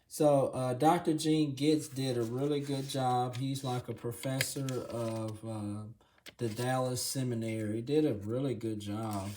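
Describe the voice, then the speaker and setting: A man hosting a casual Bible review video, his voice raspy and hoarse, often clearing his throat, and checking in with the viewer again and again. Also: mid-range voice, measured pace, slight background noise. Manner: relaxed, filler-heavy, says "okay?" often. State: hoarse from congestion. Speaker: channel host reviewing a Bible.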